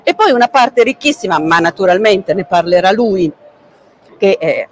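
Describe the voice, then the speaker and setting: A middle-aged woman, a curator presenting a book at a public talk, speaking in a measured way with frequent hesitant pauses, recorded by a camera at some distance in a large book fair hall. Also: medium pitch, slight background noise. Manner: measured, frequent "eh" pauses, gestures while talking.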